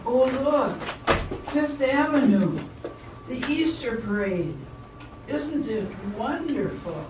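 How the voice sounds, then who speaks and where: A woman's voice: sits high, animated, slow. A woman playing a naive, eager but self-doubting young small-town dancer in a theatrical amateur radio drama, hesitant and wide-eyed. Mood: wistful, admiring. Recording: very echoey hall, distant mic, background noise.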